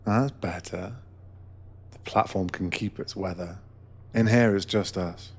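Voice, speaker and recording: A man with a deep voice speaks very slowly in a scripted ASMR meditation. He plays an attentive host aboard a sleeper train, soothing and gentle, never hurrying the listener. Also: gravelly, husky. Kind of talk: ASMR guided meditation roleplay, scripted narration.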